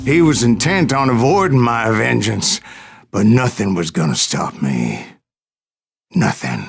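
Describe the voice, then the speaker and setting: An actor playing a grizzled aging American gunslinger who tells of his exploits in a gruff, boastful drawl for a Western game. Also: mid-range voice, sing-song, unhurried, heavily acted. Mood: bitter, vengeful. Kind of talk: Western game storytelling narration.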